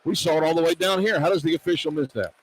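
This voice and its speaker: A man's voice: a little high, very fast, rough recording. A man calling live radio play-by-play of a basketball game from courtside on a broadcast headset. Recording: loud arena noise behind the voice.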